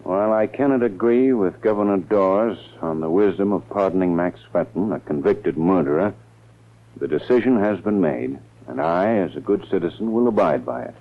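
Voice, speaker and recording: An actor playing an ambitious, wary district attorney in a 1940s radio drama, with an American accent and an emphatic manner. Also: male voice, low-pitched, expressive, normal speed, gravelly. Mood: formal, reading. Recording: muffled 1940s radio recording.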